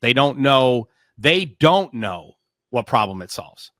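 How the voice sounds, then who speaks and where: A man's voice: mid-range voice, very animated, takes it slowly, bright, forceful tone, no room sound, studio quality. A man hosting a tech interview, talking emphatically and often restarting his words. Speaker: podcast host. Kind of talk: casual interview.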